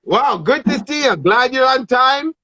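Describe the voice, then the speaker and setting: A man's voice: very high voice, animated, measured pace, a crowd in the background. A man hosting an interview show, asking enthusiastic, rambling questions. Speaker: show host. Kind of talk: casual interview. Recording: dull dry sound.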